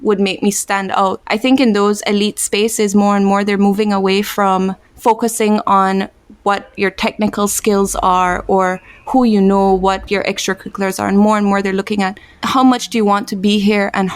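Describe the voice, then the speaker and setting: A woman's voice: mid-range voice, level tone, normal speed, smooth, no echo. A woman in her twenties from Belize, a podcast guest telling her story in an earnest, reflective way. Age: 20s. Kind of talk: podcast interview.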